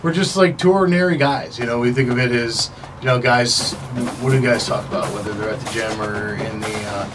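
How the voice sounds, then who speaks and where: A man's voice: medium pitch, brisk. Distant-sounding male radio host, music behind. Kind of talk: casual chat. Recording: distant microphone.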